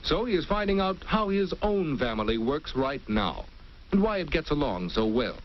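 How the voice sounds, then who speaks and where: A man's voice: a little high, big pitch swings, moderate pace. A man narrating an old educational film in an earnest, instructive tone. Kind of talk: scripted 1950s film narration. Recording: muffled old film soundtrack with hiss.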